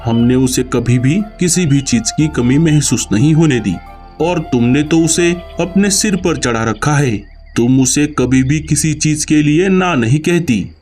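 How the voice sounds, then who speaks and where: A man's voice: on the high side, measured pace, a little mellow, faint music behind, close to an everyday voice. A man voicing an aging, principled bird king in a cartoon story, stern and responsible in manner. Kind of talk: Hindi cartoon story acting.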